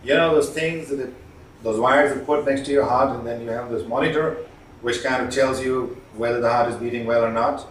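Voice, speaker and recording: A man giving an instructional talk as a communication trainer, explaining with emphatic stressed words and pauses. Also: mid-range voice, fairly even intonation. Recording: echoey room, distant mic, faint noise.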